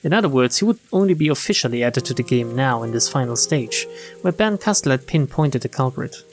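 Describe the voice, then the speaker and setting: A man's voice: medium pitch, lively intonation, a little fast. A man streaming a game, reading visual novel narration and dialogue aloud in a dramatic storytelling style. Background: game music.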